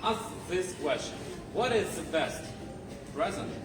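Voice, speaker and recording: Guy hosting a contest, talks slow and halting, far from mic in echoey room. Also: sits high, expressive, background noise. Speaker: contest host asking questions. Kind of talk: hosting a live club speaking contest. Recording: echoey room from afar, loud music.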